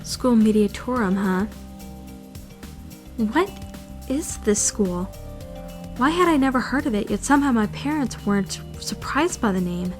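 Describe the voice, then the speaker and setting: A woman's voice: on the high side, expressive, measured pace, long pauses. A woman playing an anxious, brooding fourteen-year-old schoolgirl who narrates her self-doubting thoughts in a visual novel fandub. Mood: agitated, questioning. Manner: introspective, questioning, self-critical. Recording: music behind the voice.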